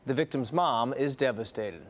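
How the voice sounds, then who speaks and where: A man's voice: mid-range voice, moderate pace. An American man anchoring TV morning news in a scripted news-reading style.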